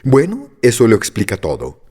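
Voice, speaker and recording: A man voicing a kindly little old witch woman who speaks in a gentle, measured way. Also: mid-range voice, huge pitch swings, husky.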